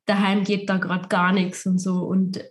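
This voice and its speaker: A woman interviewer who asks questions in an easy, conversational manner. Her pitch stays flat and even, and she talks calmly without much swing. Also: a little slow. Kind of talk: casual interview talk.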